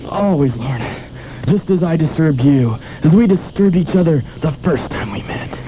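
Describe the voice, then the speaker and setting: A man's voice: a little high, expressive, moderate pace, slight background noise, natural voice. An actor playing an obsessive, impassioned male pianist in old-time radio style, with a melodramatic, insistent delivery. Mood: impassioned, persuasive. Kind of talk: old-time radio drama acting with first-person narration. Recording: dull 1990s AM radio aircheck with music.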